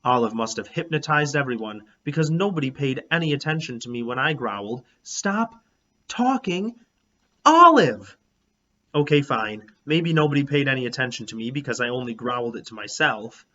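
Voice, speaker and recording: A man reading a children's storybook aloud as a teacher, in an expressive, animated, emphatic way. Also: medium pitch, brisk, dull audio. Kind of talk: expressive storybook read-aloud in a child narrator's first person.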